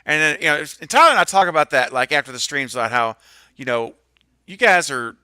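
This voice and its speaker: A man's voice: a little high, lively intonation, fairly quick, pushed and bright, clean tone, dry recording. A man co-hosting a live horror movie stream, upbeat and laughing as he reads the chat aloud. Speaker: co-host.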